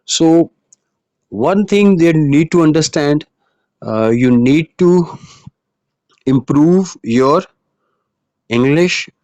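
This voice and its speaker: A man's voice: fairly high pitch, lively intonation, extremely slow, long pauses, a little mellow, no echo, highs cut off. Earnest male IELTS tutor, Urdu native. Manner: earnest, explanatory, many fillers and restarts. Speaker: IELTS teacher. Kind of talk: online lesson monologue.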